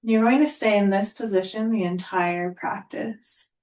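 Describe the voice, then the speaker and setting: Woman, meditation instructor. Very slow, calm, unhurried delivery in mid pitch, measured pauses, guided relaxation read. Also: rough recording. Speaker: yoga nidra instructor.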